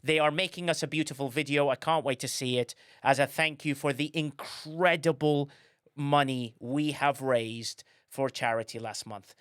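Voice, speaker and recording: Bright, chatty male host. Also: medium pitch, clear, resonant tone, no echo, studio quality. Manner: chatty, rambling, self-correcting. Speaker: livestream host. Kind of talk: casual livestream chat.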